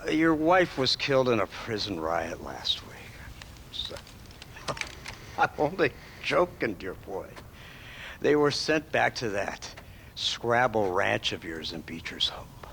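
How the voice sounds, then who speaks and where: Smug, slow-drawling aging lawman. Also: on the low side, sing-song, vocal fry, a bit bright, exaggerated voice. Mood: mocking. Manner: mocking, drawling, condescending. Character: smug, sardonic, menacing aging government lawman. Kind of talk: video game dramatic acting. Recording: noisy outdoor ambience behind.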